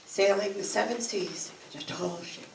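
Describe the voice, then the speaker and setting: An older woman reciting poetry expressively at a community event, with measured, dramatic phrasing. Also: mid-range voice, creaky. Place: event room, recorded from a distance. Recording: echoey, noisy room, distant microphone.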